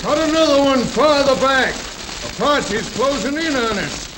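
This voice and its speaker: An actor playing a male posse rider who shouts urgent orders in a 1930s western film. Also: extremely high pitch, animated, a little slow. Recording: very hissy 1930s film soundtrack.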